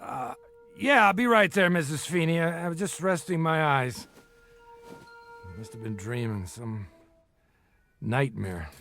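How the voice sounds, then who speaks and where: An actor playing a worn-out, middle-aged small-time bookmaker who wheedles and flatters in folksy street talk, in a radio drama. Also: male voice, on the high side, unhurried, gravelly, long pauses, faint music behind. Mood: groggy, flustered.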